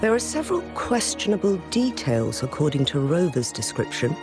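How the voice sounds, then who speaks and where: An actress playing a dignified, shrewd lady of authority in a video game, formal, deliberate and inquisitive. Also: low-pitched, sing-song, music in the background. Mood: curious, pondering.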